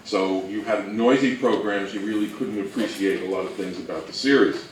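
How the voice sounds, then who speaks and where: A man's voice: on the low side, normal speed. A man giving an informal, conversational presentation, explaining things and posing rhetorical questions as a co-presenter. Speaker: co-presenter and old-time radio researcher. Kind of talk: informal slide presentation talk. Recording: echoey room, distant mic, faint noise.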